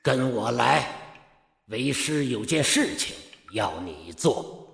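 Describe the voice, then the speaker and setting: A man playing a sinister old Daoist master in an audio drama, drawling, mocking and cruel, with a gravelly voice. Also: mid-range voice, animated, takes it slowly, fairly bright tone, exaggerated voice. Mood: commanding. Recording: echoey reverberant cave effect, music behind.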